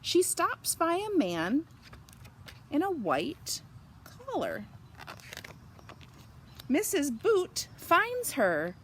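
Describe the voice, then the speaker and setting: A woman reading a storybook aloud for young children, pausing to explain and ask them simple questions. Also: high voice, wildly swooping pitch, a bit bright, slight background noise.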